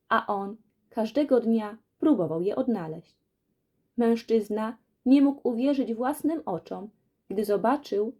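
Animated female storyteller with a mellow, husky voice. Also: a little high. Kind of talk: expressive scripted fairy tale narration.

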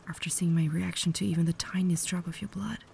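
A breathy, whispering vampire lady played by a woman, cultured and caring but holding herself back. Her delivery is formal and earnest, quite even in pitch, with a creaky edge. It is an ASMR roleplay with some faint noise behind the voice. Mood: anxious, incredulous. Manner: formal, measured, earnest diction.

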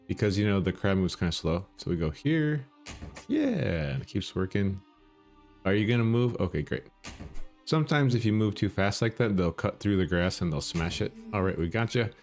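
A man casually commenting on his own game run, chatty and self-deprecating, thinking aloud as he plays, with a bassy morning voice. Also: darkish tone. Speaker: gaming channel host.

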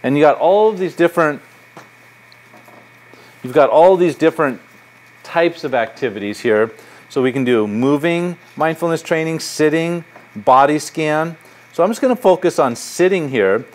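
A man's voice: a little high, lively intonation, normal speed. A middle-aged man, a psychology professor, giving a public lecture in a university lecture hall with a conversational, anecdotal manner and lots of gesturing. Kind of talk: public lecture with audience questions.

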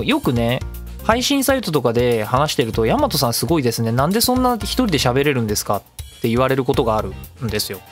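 A man hosting a casual solo web radio show in speech, chatty and given to acting out imagined remarks. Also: medium pitch. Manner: talkative, acts out imagined remarks mid-talk.

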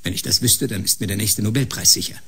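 Very raspy, cool scientist. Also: male voice, lowish voice, quick pace, fairly bright tone, soft background music, full character voice. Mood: sardonic. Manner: dry, matter-of-fact, skeptical. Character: cool, sardonic, ambitious male research scientist. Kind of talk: German science-fiction radio drama acting.

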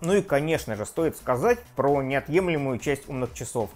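A man in his thirties hosting a scripted tech review vlog from a room at home, lively and self-mocking. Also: mid-range voice, normal speed, faint music behind. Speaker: tech video host. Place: home room with desk and gear.

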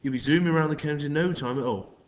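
Man doing a sly male stranger, slick and falsely friendly, higher than plain talk, storybook villain. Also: fast, lightly acted. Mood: smooth, scheming. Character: smooth, sly adult male stranger at bike show.

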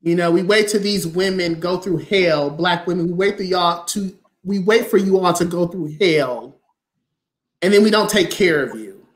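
An American host speaks on a video call about the arts. The voice sits at a middle pitch. Delivery is emphatic and preachy, with phrases repeated to drive the point home. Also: normal speed. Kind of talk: live online arts talk. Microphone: video call mic.

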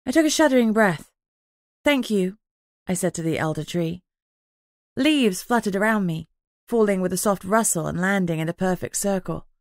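A woman's voice: medium pitch, animated, a little fast, dry recording, studio quality. A woman reading scripted first-person fantasy fiction as an audiobook narrator.

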